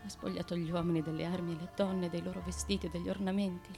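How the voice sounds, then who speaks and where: An actress playing the devoted, tormented wife of an ancient Greek tyrant in a radio drama monologue, with an intense, theatrical delivery. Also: female voice, on the low side, level tone, quick pace, faint music behind, close to an everyday voice. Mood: hushed, bitter. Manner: intense, dramatic, with hushed asides and outcries.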